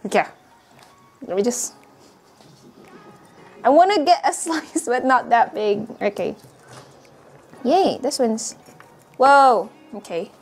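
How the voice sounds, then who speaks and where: Young woman, high voice, bubbly and giggly, casual vlog, lapel mic, small apartment. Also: animated, slow, pauses often, a little mellow, soft background music. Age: 20s. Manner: bubbly, giggly, sings and repeats phrases. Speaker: vlogger. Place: small apartment room, indoors. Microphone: clip-on lapel mic.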